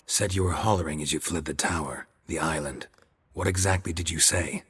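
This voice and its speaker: An actor playing a gruff, stoic monster hunter in a fantasy video game, terse and matter-of-fact with a gravelly voice. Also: male voice, very deep voice, fairly quick, fairly bright tone, full character voice. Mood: probing, calm. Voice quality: gravelly, husky.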